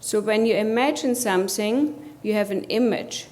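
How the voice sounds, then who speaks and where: A woman's voice: mid-range voice, moderate pace, a little mellow. A woman from Germany with a German accent, giving a motivational conference presentation and putting rhetorical questions to the audience. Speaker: conference speaker.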